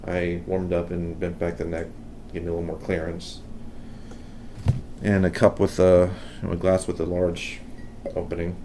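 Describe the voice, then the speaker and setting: A man giving a casual, unscripted how-to demonstration, talking haltingly and unhurriedly as he works. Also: low voice, completely flat delivery, mellow, a little hiss or hum. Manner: unhurried, halting, thinking aloud while working. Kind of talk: casual unscripted hands-on tutorial.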